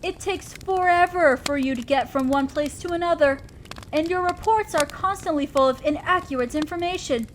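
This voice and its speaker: A woman plays an imperious demon lord of hell with a distinctly high feminine voice. She is commanding and condescending, an exacting boss in an audio roleplay. Clear background noise and ambience sit behind her. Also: moderate pace, natural voice, rough recording. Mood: stern, threatening. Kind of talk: scripted roleplay audio drama monologue.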